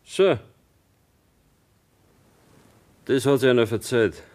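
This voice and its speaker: An actor with a Bavarian accent playing a taciturn young farmer's son in a radio drama, giving terse, evasive answers. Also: male voice, mid-range voice, expressive, normal speed, darkish tone, lightly acted. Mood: defensive. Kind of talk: German radio drama acting.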